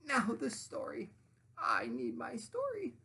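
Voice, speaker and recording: Storybook witch: imperious, crowing, stretched-out commands, pitched high and swinging dramatically. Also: huge pitch swings, gravelly, pushed and bright, husky, full character voice. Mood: demanding. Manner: crowing, cackling, drawn-out commanding delivery. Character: bossy, gloating little female sand witch.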